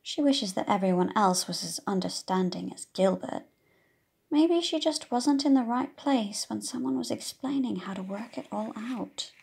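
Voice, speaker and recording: A woman's voice: a little high, animated, moderate pace, creaky, a little mellow, husky. A woman reading a children's story aloud expressively, with engaging, questioning asides like a teacher talking to young listeners. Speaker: audiobook reader for children.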